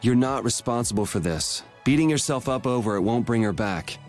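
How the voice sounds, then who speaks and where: A man's voice: mid-range voice, lively intonation, brisk, a little mellow, faint music behind, lightly acted. An actor playing a pragmatic young swordsman hero in a video game, laid-back and matter-of-fact. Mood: quietly serious.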